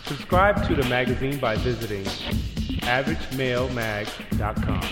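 A man reading a scripted podcast sponsor message in a smooth promotional way. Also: medium pitch, lively intonation, normal speed. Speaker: ad announcer. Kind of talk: scripted ad read. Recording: roomy with loud music bed.

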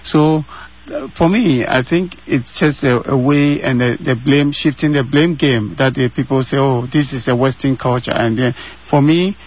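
A man's voice: mid-range voice, moderate pace, husky. Earnest Ghanaian man, muffled line. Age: 30s. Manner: earnest, repeats phrases for emphasis. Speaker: interviewee. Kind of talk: candid interview answers. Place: at home.

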